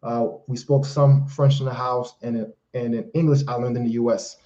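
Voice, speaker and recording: Creole-native guy coaching men on a live stream, preachy and punchy, warm darkish voice, kinda dull lo-fi audio. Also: mid-range voice, steady tone, normal speed. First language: Haitian Creole. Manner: emphatic and preachy, calls listeners fellas and brother. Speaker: host and life coach. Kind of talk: live-stream Q&A, motivational advice.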